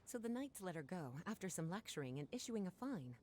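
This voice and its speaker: An actress playing a poised, gently indulgent and teasing woman in a video game, with a languid, honeyed delivery. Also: on the low side, sing-song, fast, a little hiss or hum. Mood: smooth, indulgent. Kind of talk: video game character acting.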